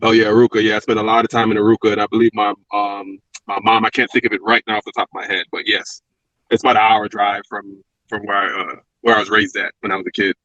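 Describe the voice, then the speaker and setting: A man with a Brooklyn accent talks quickly and reflectively, circling back with fillers as he tells his story. The sound is dry and close, with a dull, narrowed lo-fi quality. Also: fairly low pitch, flat delivery, pushed and bright, no room sound. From Brooklyn, New York. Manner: reflective, rambling with restarts and fillers. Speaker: guest, former pro wrestler. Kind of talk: casual interview talk.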